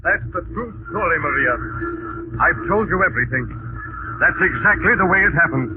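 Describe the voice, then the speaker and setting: An actor playing an earnest gentleman crime-fighter in a 1940s radio drama. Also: a little high, expressive, moderate pace, close to an everyday voice. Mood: urgent. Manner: urgent, earnest. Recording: noisy, tinny 1940s radio recording.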